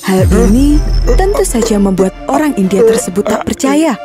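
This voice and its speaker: A woman's voice: fairly high pitch, animated, normal speed, music in the background. A woman narrating a scripted children's story as storyteller.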